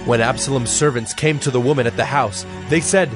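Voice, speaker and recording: Guy narrating audio bible, solemn and measured, mid voice, bit of music under it. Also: medium pitch. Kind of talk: dramatized scripture narration.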